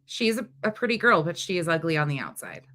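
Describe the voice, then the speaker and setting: Blunt, bright-voiced North Dakota woman. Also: lowish voice, fast. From North Dakota, USA. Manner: blunt, profane and animated. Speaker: livestream host. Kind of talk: casual livestream chat reading comments.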